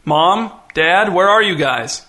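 A man's voice: mid-range voice, moderate pace, bright, forceful tone, natural voice. A man voicing a defiant seventh-grade girl who talks back in a blunt, sarcastic manner. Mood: calling out. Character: mouthy seventh-grade older sister, defiant.

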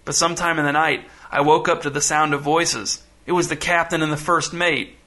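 Man as storybook schemer, conspiratorial, hedging, bright, fairly high voice. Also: fairly even intonation, a little fast. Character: scheming, cautious man claiming to be king. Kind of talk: storybook character voice.

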